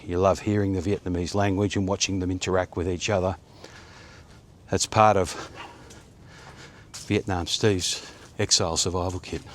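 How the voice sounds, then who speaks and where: A man in his fifties talks in a rambling, friendly walk-and-talk style, full of ums and restarts, with a voice sitting a little low. He films himself on a handheld camera outdoors on a sunny suburban street, with faint traffic hum behind him. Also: level tone, normal speed. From Australia. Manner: rambling, chatty, with many fillers. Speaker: vlogger. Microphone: phone or action camera held at arm's length.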